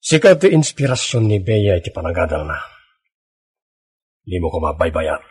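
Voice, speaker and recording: Strict, pleading dad, middle-aged, rough-edged voice with wide swings, radio drama over music. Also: male voice, low-pitched, sing-song, moderate pace, darkish tone, exaggerated voice. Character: troubled, pleading strict father of a wayward daughter.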